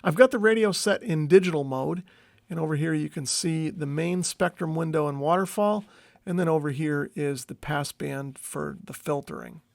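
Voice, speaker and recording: A man's voice: on the high side, moderate pace, gravelly, a little mellow, no room sound. A man hosting a hobbyist video, explaining step by step in a tentative, non-expert way as he walks through what is on screen. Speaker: radio hobbyist video host.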